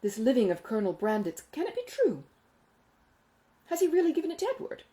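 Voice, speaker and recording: A woman voicing a self-important, money-minded gentleman in a Regency audiobook, exclaiming and confiding in a pompous manner. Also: fairly high pitch, huge pitch swings, brisk, voice pushed up. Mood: eager, inquisitive. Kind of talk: acted audiobook dialogue from a Regency novel.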